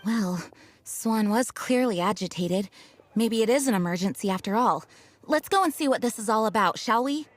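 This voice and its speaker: An actress playing a composed young knight leader who speaks in a calm, formal, polite way. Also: female voice, a little high, moderate pace, close to an everyday voice. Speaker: acting grandmaster of a knightly order. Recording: game audio with music behind.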